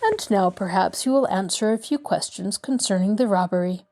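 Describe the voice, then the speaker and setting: A woman voicing a courteous middle-aged male lawyer who speaks in a measured, reassuring way. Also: mid-range voice, expressive, warm tone, close to an everyday voice. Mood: calm.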